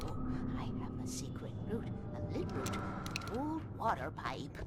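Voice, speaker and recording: A woman's voice: medium pitch, very animated, unhurried. An actress in a video game playing an eccentric, fearful older woman who talks in cryptic, urgent bursts and whispers. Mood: urgent, scolding. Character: eccentric, fearful older woman, obsessive guardian against darkness. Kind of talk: dramatic video game acting.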